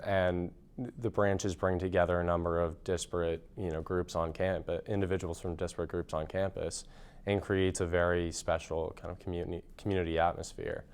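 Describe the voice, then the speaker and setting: A young man with a very deep, smooth and resonant voice explains things in an interview. He speaks in a measured, somewhat formal way and often pauses on "you know". He sounds composed. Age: young adult. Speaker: graduating college senior. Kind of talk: interview answers.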